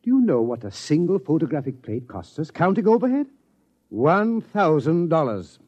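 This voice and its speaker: An actor playing a dignified, formal observatory director with precise, stuffy speech in a vintage radio drama. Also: male voice, fairly high pitch, sing-song, moderate pace, darkish tone, breathy edge. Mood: exasperated. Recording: dull, lo-fi old radio recording.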